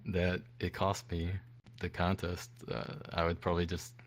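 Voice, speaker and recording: Deep, smooth-voiced man. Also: monotone, moderate pace, slight background noise. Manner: soft-spoken, reflective, modest. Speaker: eliminated contestant being interviewed. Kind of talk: casual online interview. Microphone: desktop mic.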